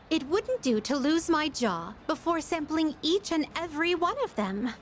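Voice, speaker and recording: A woman playing an elegant, formal and naively curious young lady in a video game, speaking in ornate, precise words. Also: high-pitched.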